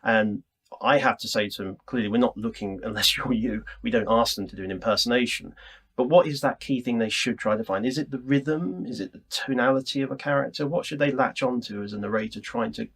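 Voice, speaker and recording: A man's voice: medium pitch, a little fast, fairly bright tone, husky. A man presenting a podcast interview, chatty and explanatory as he puts his questions in a conversational style. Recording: ambient room mic.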